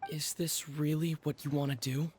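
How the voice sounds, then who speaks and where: Woman playing a low-voiced, concerned young adventurer. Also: flat delivery, moderate pace, darkish tone, slight background noise, close to an everyday voice. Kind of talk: game character acting.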